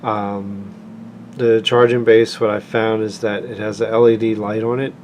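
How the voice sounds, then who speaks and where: Low-voiced man giving an informal product review. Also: level tone, a little mellow, lo-fi sound, a little hiss or hum. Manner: halting, unscripted, plain-spoken explanation.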